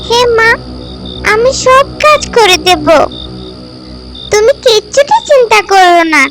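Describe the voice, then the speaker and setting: A sweet, lonely little girl in a children's fairy-tale cartoon. Her voice is extremely high and childlike, with slow, plaintive, obedient delivery. Loud music runs behind her. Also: animated, full character voice. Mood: obedient, pleading.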